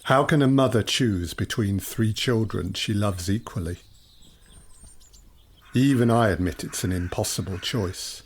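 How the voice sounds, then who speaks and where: An actor playing a menacing supernatural creature in an audio drama, speaking in a measured, mocking, theatrical way. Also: male voice, lowish voice, slight background noise. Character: menacing male supernatural child-taking creature, calmly gloating. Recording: music under the voice.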